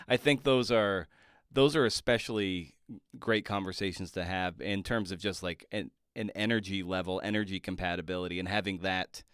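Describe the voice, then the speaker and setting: Low-pitched, smooth-voiced man interviewing. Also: fairly bright tone, dry recording, clean studio sound. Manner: halting, thoughtful, circling toward the point. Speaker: podcast host. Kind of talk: casual interview chat.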